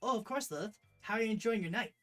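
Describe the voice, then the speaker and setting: Streamer putting on a perky, higher-pitched festival vendor girl. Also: quick pace, over music, close to an everyday voice. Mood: friendly, curious. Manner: perky, polite.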